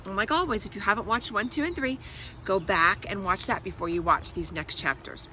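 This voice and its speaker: Bright-voiced woman reading a storybook aloud. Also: mid-range voice, a little fast, a little hiss or hum. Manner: expressive, explanatory, addresses children directly. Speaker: read-aloud host for children. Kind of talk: storybook read-aloud with asides to young listeners.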